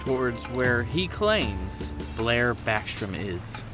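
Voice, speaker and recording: A man narrating a tabletop horror game as game master, in atmospheric, deliberate storytelling. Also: medium pitch, big pitch swings. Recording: music behind the voice.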